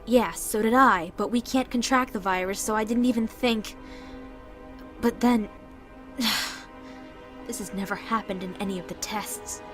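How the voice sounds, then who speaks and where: A woman acts a ruthless, impatient covert agent in an audio drama. She is terse and scornful at a middle pitch, with clear music behind her. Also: measured pace, long pauses, lightly acted. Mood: alarmed. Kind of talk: amateur audio drama acting.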